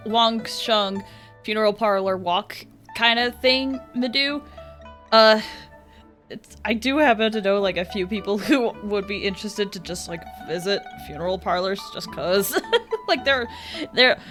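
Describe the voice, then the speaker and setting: A woman's voice: medium pitch, fairly bright tone. A woman doing casual live game stream commentary, rambling and reacting with jokey asides. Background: game music.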